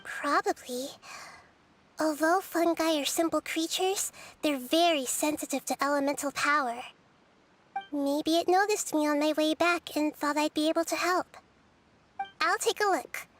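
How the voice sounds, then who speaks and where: Wise girl goddess: high, soft and reassuring, calm and thoughtful. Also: unhurried, a little hiss or hum. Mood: gentle, thoughtful. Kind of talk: video game voice acting.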